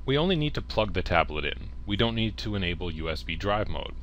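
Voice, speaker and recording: Man narrating a tutorial with very lively pitch. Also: brisk, muffled recording, a little hiss or hum. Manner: instructional, plain-spoken, addresses viewer directly. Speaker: tech tutorial presenter. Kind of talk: step-by-step software tutorial narration.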